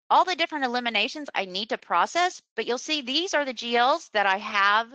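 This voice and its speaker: A woman presenting a webinar as a software trainer, explaining a screen demonstration step by step in an unscripted way. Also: a little high, no room sound, low-quality recording. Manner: instructional, step-by-step.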